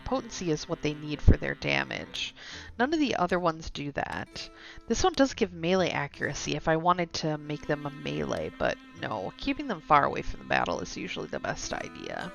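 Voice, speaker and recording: A woman's voice: fairly low pitch, creaky, fairly bright tone. A woman live-streaming a game, reading its story text aloud with some stumbles and chatting to viewers in asides. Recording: dull lo-fi sound with music behind. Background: game music.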